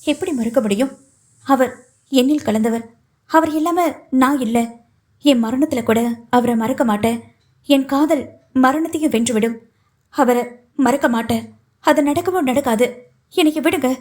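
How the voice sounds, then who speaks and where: A woman's voice: high voice, moderate pace, long pauses, a little mellow, natural voice. A woman reading an audiobook, voicing a grieving young widow who answers firmly and curtly. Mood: vehement.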